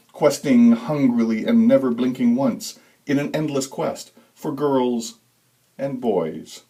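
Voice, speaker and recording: A man giving a dramatic, deliberate reading of a scripted story as narrator, with suspenseful, expressive emphasis. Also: mid-range voice, rough recording. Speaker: author reading own story.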